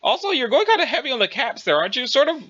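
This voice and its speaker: Bumbling, self-absorbed young male adventurer played by a man, wordy and folksy, bright animated delivery for audio drama. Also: very high-pitched, very fast, muffled recording, full character voice. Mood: bewildered, apologetic. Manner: wordy, old-fashioned folksy exclamations, bumbling. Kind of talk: dramatized dialogue reading.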